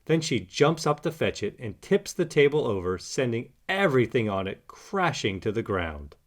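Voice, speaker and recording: A man narrating a children's picture book in an animated read-aloud style. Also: medium pitch, moderate pace. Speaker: storybook narrator.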